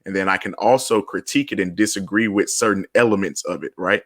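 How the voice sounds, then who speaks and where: A man hosts a panel in a fairly low voice, recorded dry with no room sound. He explains points deliberately and emphatically as he moderates the discussion. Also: no echo. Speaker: panel host.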